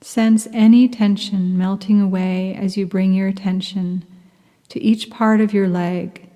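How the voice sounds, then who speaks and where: Woman meditation guide, very slow and mellow, soft half-whispers, long reverb around the voice. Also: medium pitch, steady tone. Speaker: yoga nidra teacher.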